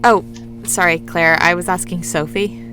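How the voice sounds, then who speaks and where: A woman's voice: lowish voice, fairly even intonation, normal speed, bright, forceful tone, soft background music. A woman playing a playful, theatrical young woman in an audio drama, showy and mischievous like a mock announcer. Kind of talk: lively audio drama dialogue.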